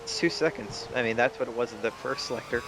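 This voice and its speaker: A man's voice: mid-range voice, quick pace. Laid-back young man commentating a racing game. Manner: joking, laid-back, swears casually. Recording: commentary over game engine noise. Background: racing game engine sounds.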